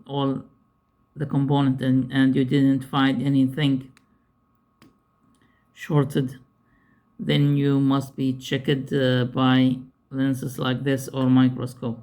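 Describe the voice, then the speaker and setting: A man who is not a native English speaker gives an unscripted hands-on repair tutorial, haltingly, repeating himself as he searches for words. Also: medium pitch, darkish tone.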